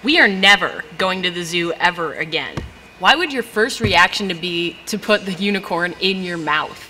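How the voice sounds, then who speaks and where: Young woman doing a deadpan boozy puppet dad, crude and snarky, bright sharp voice. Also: on the low side, moderate pace, a little hiss or hum. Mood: sarcastic. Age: young adult. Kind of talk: live puppet show comedy. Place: gallery, live performance.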